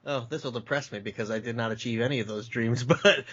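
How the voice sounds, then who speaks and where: A man from Michigan with a nasal voice answers interview questions. He is self-deprecating, chuckles often and uses lots of fillers. The audio is dull and lo-fi, and his pitch sits in the middle range. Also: fast talker, a bit bright, breathy edge. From Michigan, Metro Detroit suburbs. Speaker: podcast guest. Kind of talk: relaxed conversational interview.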